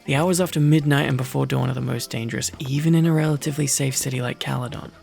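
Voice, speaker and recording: A man playing a prickly, easily flustered wolfkin mercenary in an ASMR roleplay, gruff and sardonic, secretly protective. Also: mid-range voice, fairly even intonation, fast talker, darkish tone, soft background music. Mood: bossy, protective.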